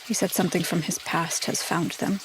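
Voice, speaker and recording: A woman playing a gentle, steady, caring adventurer in a tabletop fantasy game. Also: on the low side, brisk, background noise, lightly acted. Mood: concerned, hesitant.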